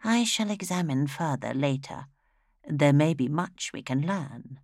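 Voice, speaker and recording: A woman voicing a detached male doctor giving orders in a brisk, matter-of-fact way. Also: low-pitched, lively intonation, a little mellow, no room sound, studio quality, exaggerated voice. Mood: clinical.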